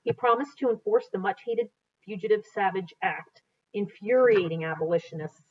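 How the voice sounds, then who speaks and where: A woman's voice: mid-range voice, moderate pace, slightly rough. An American woman reads a history book aloud as a teacher, in an explanatory, teacherly way. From United States. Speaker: teacher reading aloud to students. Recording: dull, lo-fi sound.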